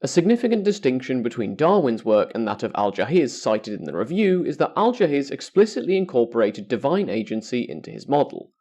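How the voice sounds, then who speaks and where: A man's voice: medium pitch, sing-song, a little fast, hardly any pauses, a little mellow. A man hosting an educational podcast, reading scripted narration in a formal, carefully structured way.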